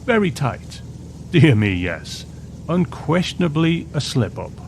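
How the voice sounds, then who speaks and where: Man voicing a fussy elderly gentleman. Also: fairly high pitch, big pitch swings, measured pace, a little hiss or hum, pitched above the performer's own voice. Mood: flustered, evasive. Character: elderly British gentleman, clucking, fussy, politely snobbish.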